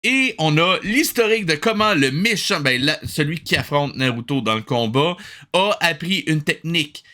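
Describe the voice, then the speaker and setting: A man's voice: on the high side, expressive, normal speed, pushed and bright. A man with a Québécois accent doing sarcastic, exasperated comedic commentary as a review host.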